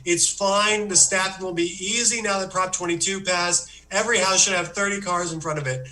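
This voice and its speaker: A man voicing a rambling, self-pitying Australian man who gives a comic business pitch in a put-on Australian accent. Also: fairly even intonation, moderate pace, sharp tone, slight background noise, rough recording. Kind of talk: comedic monologue.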